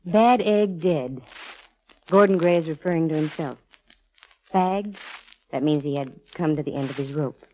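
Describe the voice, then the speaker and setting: An actress playing a confident, wisecracking female private detective in a brisk, wry 1940s radio style. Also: sits low. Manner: brisk, wry, self-assured. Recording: dull, hissy old radio recording.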